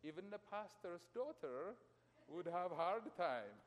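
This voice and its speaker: A middle-aged man from Ethiopia preaching a sermon as a pastor. He speaks emphatically to his congregation, his loudness surging and falling. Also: sits high, animated, a little slow. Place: church. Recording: long church reverb.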